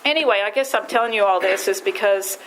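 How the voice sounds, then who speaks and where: A woman with a West Virginian accent tells her recovery story in a folksy, rambling way, often restarting her sentences. Her voice sits at a middle pitch. Steady background noise runs under the live recording. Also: fast talker. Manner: rambling, folksy, self-deprecating, frequent restarts. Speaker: speaker at a recovery meeting. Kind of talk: personal recovery share.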